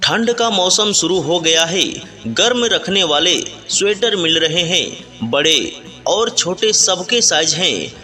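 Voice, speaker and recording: Very bright, slow-paced male street announcer. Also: big pitch swings. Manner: repetitive plain sales pitch, urging buyers. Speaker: street loudspeaker announcer for a winter clothing seller. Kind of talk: scripted market sales announcement. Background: music.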